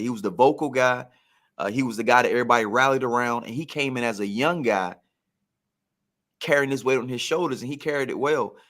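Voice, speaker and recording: A man's voice: mid-range voice, a bit bright. A man in casual sports talk, telling stories like a former player and repeating phrases for emphasis. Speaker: former pro football player, guest storyteller.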